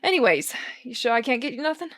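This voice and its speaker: A woman's voice: high-pitched, very animated, quick pace, a bit bright. A woman voicing a jittery, wisecracking male bartender who talks in a chatty, joking way.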